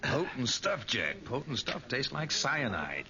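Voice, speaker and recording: A man's voice: medium pitch, big pitch swings, measured pace, a crowd in the background. An actor playing a skeptical, bookish American man who also narrates a 1940s radio drama. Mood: wry. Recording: muffled old radio recording, clear noise.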